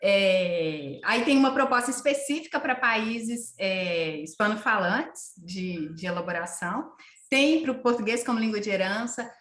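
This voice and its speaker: A woman hosting an online talk over a video call, speaking in a halting way with many fillers and restarts. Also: medium pitch, expressive, a bit bright. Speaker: host of an online lecture series. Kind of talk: casual video-call chat. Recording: roomy video call audio.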